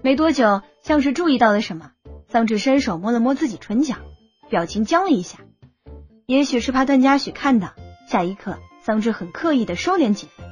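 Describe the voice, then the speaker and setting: High, husky female audiobook narrator. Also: lively intonation, fairly quick, darkish tone, faint music behind. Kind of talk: scripted story narration.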